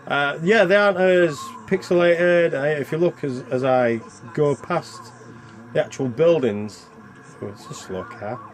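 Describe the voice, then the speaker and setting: A man's voice: on the high side, measured pace, heavy background noise. A man hosting a game review with casual, rambling, self-deprecating commentary as he plays. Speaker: video game reviewer and channel host.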